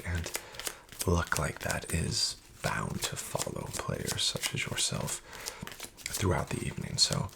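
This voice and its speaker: A man playing a smarmy, gravelly casino dealer. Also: deep voice, noisy background, full character voice. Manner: soft, half-whispered, smarmy and falsely polite. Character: sketchy smug male casino dealer, condescending. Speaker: ASMR roleplayer. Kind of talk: soft-spoken ASMR roleplay.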